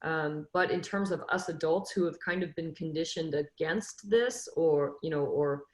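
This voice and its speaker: A woman in her fifties speaks earnestly on a video call, her voice sitting a little low and full of motivational conviction. She restarts her phrases and leans on fillers as she thinks aloud. The sound carries a roomy echo from her home. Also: moderate pace, a little mellow. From Northern California. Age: 50s. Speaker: football coach, webinar panelist. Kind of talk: casual panel discussion.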